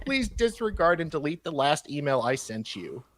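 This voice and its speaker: Man acting female British reporter, high pitch, polite, halting put-on British accent, reading an email. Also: big pitch swings, a bit bright, dry recording, studio quality. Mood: chirpy politeness.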